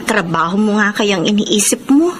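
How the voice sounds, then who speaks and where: An actress playing a worried, suspicious, nagging wife with a Filipino accent in a radio drama. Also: female voice, medium pitch, expressive, normal speed, noisy background, close to an everyday voice.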